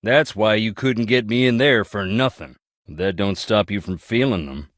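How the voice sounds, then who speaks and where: A brooding man from a troubled moneyed family, played by a male actor, speaks low in a dry, close recording. He is a video game character who tells things plainly, with folksy turns, wry humor and bitterness. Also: moderate pace, fairly bright tone, dry recording, close to an everyday voice. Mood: wistful, rueful.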